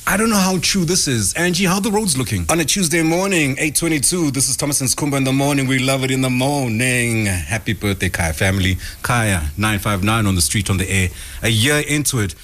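A man's voice: medium pitch, brisk, hardly any pauses, fairly bright tone. A man hosting a morning radio show from a studio kitchen, teasing and playful, speaking into a studio mic. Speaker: radio host. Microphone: studio mic with headphones.

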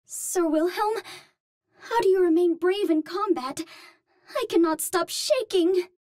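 A woman's voice: extremely high pitch, unhurried, a little mellow, no room sound, studio quality. An actress playing a cute, naive young Martian space healer, speaking in a sweet, girlish, precise and formal way. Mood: curious, earnest.

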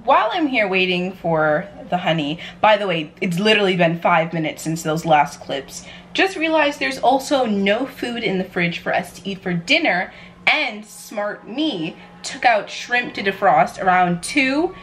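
A woman's voice: mid-range voice, sing-song, measured pace, a bit bright. Playful young American woman, noisy room. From New York, United States. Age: young adult. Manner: playful, chatty, self-described chaotic energy. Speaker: vlogger. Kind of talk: casual cooking vlog chat to camera. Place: home kitchen, indoors. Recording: clear background noise, room ambience.

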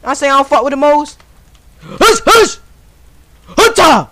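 Male gamer, wildly animated and piercing, shouting repetitive slangy trash talk. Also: extremely high pitch, a little slow. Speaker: role-play game player. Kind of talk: excited gaming trash talk.

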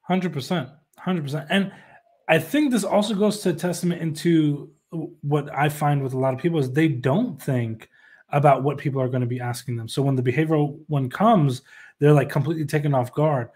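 Guy running a panel on a video call, kinda husky, a bit high, confident. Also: moderate pace, darkish tone. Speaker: panel moderator. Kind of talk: casual panel chat.

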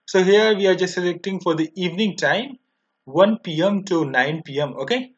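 A young man with an Indian accent walks through a software demo one step at a time. His voice is quite high for a man, and his delivery is halting and repetitive. The recording sounds dull and lo-fi. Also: expressive. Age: 20s. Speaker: software tutorial presenter. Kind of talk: step-by-step screen demo narration.

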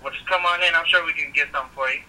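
A man's voice: on the high side, fairly even intonation, rapid-fire, sharp tone. A man answering a restaurant phone in casual customer service talk, heard through a phone speaker. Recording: distant, noisy phone call through speaker.

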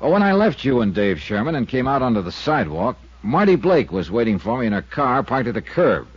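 A wry radio-drama investigator. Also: male voice, medium pitch, very animated, brisk, slightly rough, dry recording. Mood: guarded. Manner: dry, wry, matter-of-fact narration. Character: wisecracking male insurance investigator and narrator. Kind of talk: 1950s radio drama narration. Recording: dull old radio transcription.

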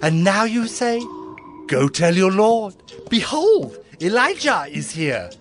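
An actor playing a frightened, devout palace steward in a dramatized audio Bible, pleading deferentially. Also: male voice, high voice, big pitch swings, slow, heavily acted. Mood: fearful pleading. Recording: music under the voice.

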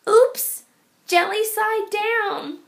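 An eager little storybook child, voiced by a woman, pitched very high. The child exclaims cheerfully in a sing-song lilt, proudly serving up each phrase at an unhurried pace. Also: fairly even intonation, creaky, exaggerated voice. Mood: excited, cheerful.

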